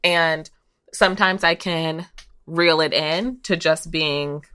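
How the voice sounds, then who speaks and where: A woman's voice: deep voice, steady tone, slow, bright, forceful tone, clear, resonant tone. A woman talking candidly and informally as a guest in a casual, reflective podcast discussion.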